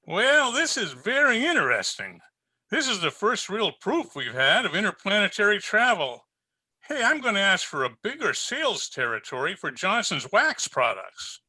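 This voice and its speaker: Male actor as cheerful pitchman, high voice, animated intonation, slick selling, dry close sound, old-time radio comedy. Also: normal speed, dry recording, full character voice. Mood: hearty. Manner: hearty, slick sales pitch. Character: relentlessly cheerful, enthusiastic floor wax salesman.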